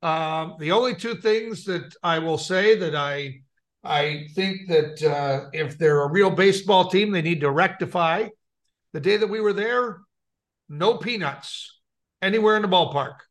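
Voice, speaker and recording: A man's voice: high-pitched, measured pace. A middle-aged American man telling wry, rambling anecdotes and reading light news in a casual co-host style. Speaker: podcast co-host.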